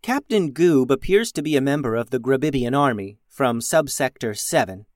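A man's voice: mid-range voice, animated, normal speed, dry recording, clean studio sound, natural voice. A man voicing a dutiful robot first officer, formal and matter-of-fact, in a children's space story. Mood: formal, informative.

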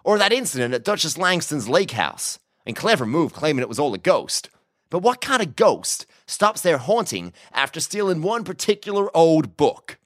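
A man's voice: on the high side, sing-song, normal speed, no room sound, clean studio sound, pitched above the performer's own voice. A man voicing a smirking cowboy outlaw in an audiobook, with a teasing, mocking, drawling manner. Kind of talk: audiobook character acting.

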